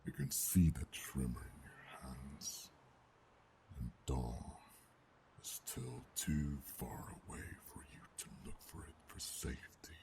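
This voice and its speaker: A man voicing a menacing, coldly controlled alpha werewolf in an ASMR roleplay, gruff and growling, speaking formally and deliberately in a half-whisper. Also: very deep voice, exaggerated voice. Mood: predatory, taunting. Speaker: voice actor.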